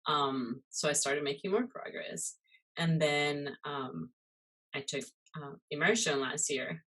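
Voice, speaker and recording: A woman's voice: deep voice, slow, fairly bright tone, low-quality recording. A woman in her thirties from Costa Rica, chatting casually as an interview guest, with lots of fillers and "you know". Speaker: guest, muralist and illustrator.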